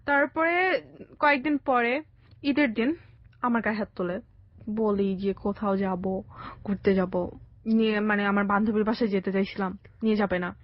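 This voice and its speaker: A young woman with a Bangladeshi accent telling her own life story conversationally, in a halting way with bits of English. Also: medium pitch, steady tone, no echo. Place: radio studio. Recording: dry, slightly dull radio sound.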